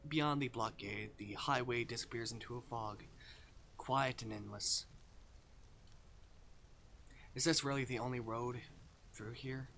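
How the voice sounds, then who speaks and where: A male streamer reading a visual novel aloud with expressive, dramatic delivery and casual commentary. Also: mid-range voice, moderate pace, creaky, slight background noise. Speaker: game streamer. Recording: music behind the voice.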